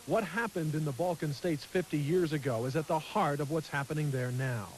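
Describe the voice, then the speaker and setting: A man's voice: fairly high pitch, a little hiss or hum, poor audio. Man reporting in a field news piece. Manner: measured, formal delivery.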